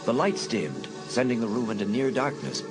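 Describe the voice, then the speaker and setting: A man's voice: medium pitch, lively intonation, moderate pace, over loud music. A man narrating a suspense story as a dramatic, scripted audiobook.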